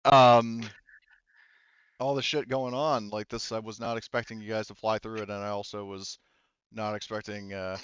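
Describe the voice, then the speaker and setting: Male game master, casual and halting, deep clean tone on dry, slightly muffled audio. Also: level tone, a bit bright, clean tone, no echo. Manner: casual, profane. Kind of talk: narrating a tabletop roleplaying game.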